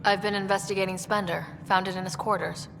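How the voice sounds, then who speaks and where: A woman's voice: medium pitch, little pitch movement, fast, vocal fry, background noise, close to an everyday voice. A woman playing a confident, friendly young space explorer in a video game, with an assured, dryly wry manner. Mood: businesslike.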